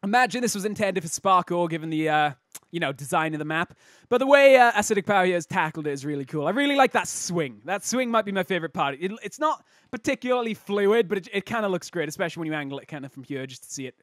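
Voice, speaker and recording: Guy doing casual game commentary, kinda high slightly croaky voice, jokey, keeps cutting himself off, dry close mic. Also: lively intonation, fast talker, a bit bright, dry recording. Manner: jokey, giggly, self-interrupting. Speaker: host of a game replay showcase.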